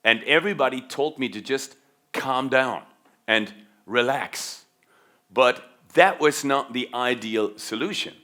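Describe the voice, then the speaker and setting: A man's voice: mid-range voice, bright, forceful tone. A middle-aged man from Germany who left his German accent behind as a teenager, giving a motivational keynote talk on leadership and stressing key words with repetition. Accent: faint American-schooled German. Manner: emphatic, stretches key words, repeats for stress. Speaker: keynote speaker.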